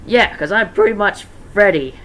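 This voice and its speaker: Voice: medium pitch, lively intonation, moderate pace, a bit bright, voice pushed up, close to an everyday voice. Someone doing a cocky sarcastic robot bear dude, amateur fanfic reading with faint noise. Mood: threatening. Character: cocky, sarcastic male golden animatronic bear. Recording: noisy amateur home recording.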